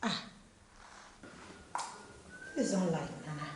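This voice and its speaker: A woman's voice: sits low, slow pace. A woman with a Ghanaian accent playing a worried young wife in a film, anxiously switching between Twi and English. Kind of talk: film dialogue. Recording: distant roomy echo, loud noise.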